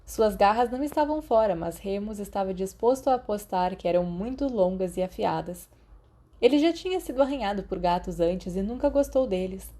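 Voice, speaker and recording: A Brazilian woman narrates an audiobook in a soft, mellow voice. Her reading is scripted storytelling, at a medium pitch with a dark, relaxed tone. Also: a little fast. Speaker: podfic narrator.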